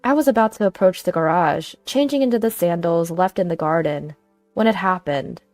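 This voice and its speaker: A woman's voice: fairly low pitch, normal speed, a little mellow, dry recording, faint music behind. A woman reading scripted first-person dramatic narration as a storyteller.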